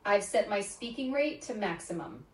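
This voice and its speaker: A woman's voice: mid-range voice, moderate pace. A synthetic woman's voice of a voice assistant, giving polite, scripted replies. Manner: polite, even, scripted phrasing. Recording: echoey room from a smart speaker.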